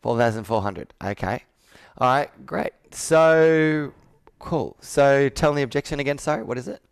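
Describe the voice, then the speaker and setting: A man's voice: medium pitch, moderate pace, gravelly, clear, resonant tone. A man in his thirties coaching a sales roleplay on a video call, asking probing questions and repeating answers back. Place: indoor room with whiteboard, on a video call.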